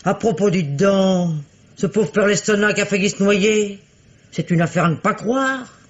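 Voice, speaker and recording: A woman's voice: mid-range voice, moderate pace, close to an everyday voice. An actress playing a talkative, gossiping village innkeeper woman in a 1950s radio drama. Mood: chatty. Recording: dull old radio recording.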